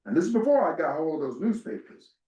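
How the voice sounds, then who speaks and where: A man reading a first-person historical narrative aloud as a dramatic reader, in a measured storytelling way. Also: fairly high pitch, big pitch swings, dark tone. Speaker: dramatic reader at a literary event. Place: live event in a reverberant room. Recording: echoey room, far from the mic.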